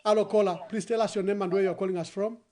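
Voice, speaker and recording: This is a Zambian man working as a phone-in host. His voice sits high for a man, with a mellow, rounded tone. He speaks as a live presenter fielding calls and questions. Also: steady tone, very fast, a little hiss or hum. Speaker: radio call-in host.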